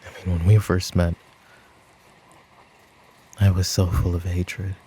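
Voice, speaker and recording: Weary mafia boss, played by a man in an audio roleplay: low, mellow, brooding, burdened by guilt, faint noise behind. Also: flat delivery. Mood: brooding, confiding. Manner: weary, brooding, speaking in sighs and pauses. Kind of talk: roleplay ASMR audio drama acting.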